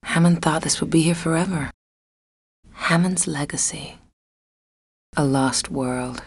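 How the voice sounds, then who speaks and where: A stranded woman talking to herself in a game drama. Her low voice moves slowly, wry and deadpan, in a very dry recording. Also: breathy edge, no room sound, heavily acted. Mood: musing.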